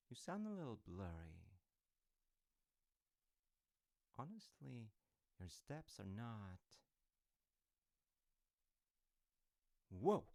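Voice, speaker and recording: A man playing a smooth-talking, slyly treacherous secret agent in an intimate audio roleplay, casual and halting, teasing as he drops into near-whispers. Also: no echo, studio quality, exaggerated voice. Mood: feigned concern. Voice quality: deep, creaky low voice. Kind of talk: intimate one-sided audio roleplay acting.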